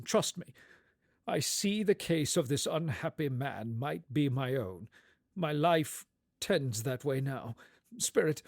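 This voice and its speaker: A man's voice: on the high side, no echo, studio quality, voice pushed up, exaggerated voice. A man voicing a frightened, repentant old miser in a storybook, trembling and pleading earnestly. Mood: shaken, pleading. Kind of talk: dramatised storybook reading.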